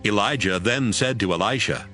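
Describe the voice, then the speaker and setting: A man's voice: lowish voice, moderate pace, pushed and bright, soft background music. A man reading scripted narration for a dramatized audio Bible as its storyteller.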